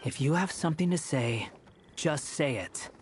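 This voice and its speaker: A man's voice: on the high side, lively intonation, normal speed, a little hiss or hum, natural voice. Man playing a grumpy, sardonic stray cat. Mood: resigned, curt. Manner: sardonic, curt, sighing. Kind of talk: animated video game voice acting.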